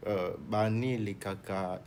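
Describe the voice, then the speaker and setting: Hesitant man with a lowish voice. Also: steady tone, slow, a little mellow, a little hiss or hum. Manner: hesitant, many restarts, mixes in Lingala. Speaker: artist manager and minister. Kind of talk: TV talk show interview.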